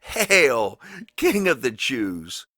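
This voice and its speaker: A man voicing scornful priests and passers-by from scripture, jeering loudly with drawn-out taunts. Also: medium pitch, huge pitch swings, no room sound, clean studio sound, voice pushed up, exaggerated voice. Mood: mocking. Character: scornful mocking men of priests and crowd.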